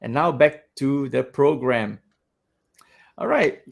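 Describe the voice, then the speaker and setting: A man hosting a podcast, talking in a loud, animated way and laughing often. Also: mid-range voice, slow pace, gravelly. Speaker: podcast host. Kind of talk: casual interview chat.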